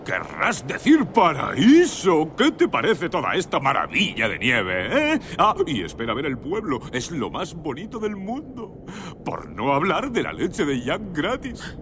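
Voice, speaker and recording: A man playing a friendly, excitable Yeti in a children's audio story, chatty and full of exclamations. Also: unhurried, full character voice. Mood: enthusiastic. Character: friendly, excitable male Yeti, cheerful mountain exile. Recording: clear rumbling noise behind the voice.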